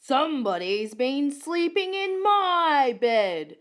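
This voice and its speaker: Woman putting on a slow, growling daddy koala. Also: high-pitched, sing-song, fairly bright tone, pitched above the performer's own voice, full character voice. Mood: grumpy. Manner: growling, grumbling. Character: big grumbling daddy koala, indignant adult male. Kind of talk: children's storybook character voice.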